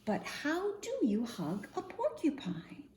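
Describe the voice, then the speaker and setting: A woman reading a picture book aloud for young children in an expressive, singsong storytime manner, often posing questions to her listeners. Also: on the high side, slow. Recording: roomy echo, faint background noise.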